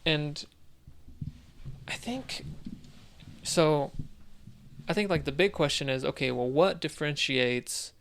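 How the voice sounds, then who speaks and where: A man co-hosting a podcast, casually discussing video games, thinking aloud in a halting way and posing questions as he goes. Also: fairly high pitch, creaky, fairly bright tone, smooth. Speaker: podcast co-host.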